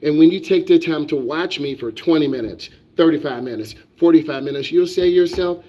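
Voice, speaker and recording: A man with a slightly raised pitch gives a driving lesson on a live stream, emphatic and preachy, repeating his points again and again. His voice is dull and muffled, as if from a lo-fi stream. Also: fairly quick, dark tone. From United States. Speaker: driving instructor.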